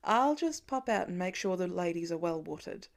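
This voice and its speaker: A woman voicing a gentle, reassuring father who talks softly and tenderly in a story reading. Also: fairly low pitch, expressive, moderate pace, vocal fry, voice pushed down.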